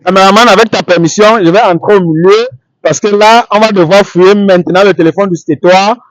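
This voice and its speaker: Male street interview host, higher register, halting and repetitive, dry recording. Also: dry recording, rough recording.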